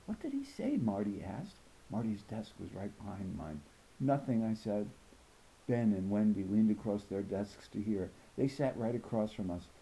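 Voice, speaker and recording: Mellow-voiced man reading a storybook. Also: lowish voice, moderate pace, vocal fry, a little hiss or hum, low-quality recording. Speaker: audiobook reader.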